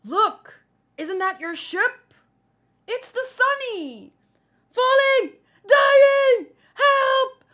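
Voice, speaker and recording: A woman voicing panicked shipmates from a manga, shouting frantically for help. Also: extremely high pitch, very slow, voice pushed up, full character voice. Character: panicked shipmates screaming for help as they fall.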